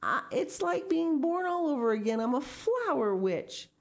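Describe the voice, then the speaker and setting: A woman's voice: fairly low pitch, lively intonation, normal speed, darkish tone. An old woman witch from a storybook, acted out by a woman reader, proud of her garden and full of joyous wonder. Her voice swoops widely and exclaims with delight.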